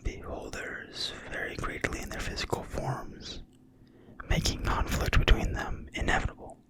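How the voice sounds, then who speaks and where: A narrator reading from a book entirely in a quiet, breathy whisper, slowly and deliberately, in ASMR style. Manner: slow, deliberate, hushed reading with pauses. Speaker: narrator reading from a fantasy game manual.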